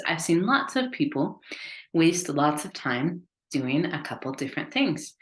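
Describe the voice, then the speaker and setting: An American woman giving an upbeat, conversational business webinar. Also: low voice. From United States. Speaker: business consultant. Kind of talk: webinar presentation. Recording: echoey room.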